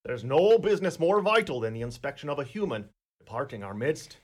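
An actor playing a courtly, pompous small-town Irish coroner in a radio play, speaking in an ornate, deliberate manner. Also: male voice, mid-range voice, sing-song. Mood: stately, pompous.

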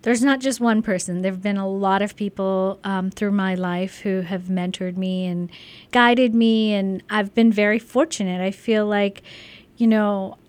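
A middle-aged woman guest from Sri Lanka talks through her community work. She is earnest and enthusiastic, and her voice sits at an ordinary middle pitch. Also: fairly even intonation, unhurried, a little mellow. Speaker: physician and volunteer guest. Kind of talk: studio interview answers.

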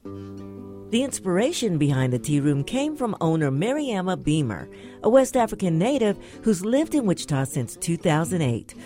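Female feature reporter, warm dark tone, animated read. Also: on the low side, moderate pace, faint music behind. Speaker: radio reporter. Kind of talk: scripted news narration.

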